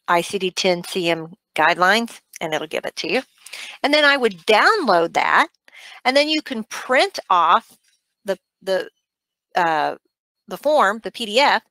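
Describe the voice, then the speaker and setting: A middle-aged American woman teaching an online class in an informal, conversational, halting way, checking in with listeners as she goes. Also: mid-range voice, bright, forceful tone, no echo. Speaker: medical coding instructor. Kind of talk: informal webinar teaching with screen walk-through. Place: small indoor room, webcam online session.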